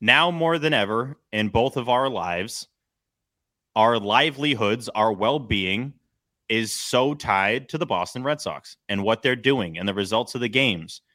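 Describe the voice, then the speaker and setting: A man's voice: mid-range voice, animated, unhurried, sharp tone. A man hosting a sports podcast, chatting casually with a co-host.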